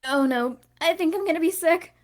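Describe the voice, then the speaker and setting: A snippy young cartoon girl, played by a woman, with a high voice. She is sarcastic and squeamish, forever bickering. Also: fairly quick. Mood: panicked. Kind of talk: cartoon table-read acting.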